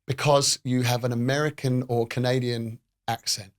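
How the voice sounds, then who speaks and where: A man's voice: mid-range voice, flat delivery, normal speed. A podcast host in his fifties with an ordinary, mid-range male voice. He talks things through reflectively, with a slightly self-conscious edge. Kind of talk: casual podcast conversation.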